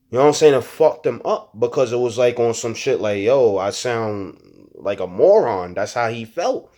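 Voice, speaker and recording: A young man speaks candidly to his online audience in heavy street slang. He stutters and restarts his sentences often, and he keeps checking in with his listeners as he reflects out loud. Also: mid-range voice. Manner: frank street slang, frequent "you know what I'm saying". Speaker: rapper and YouTuber. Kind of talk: casual reflective monologue to viewers.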